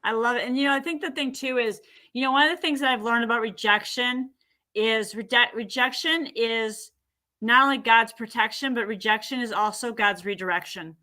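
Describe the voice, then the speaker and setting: A woman guest on a talk show, chatting in an animated way and acting out what people say. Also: fairly high pitch, moderate pace. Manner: animated, acts out quoted speech. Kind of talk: casual chat.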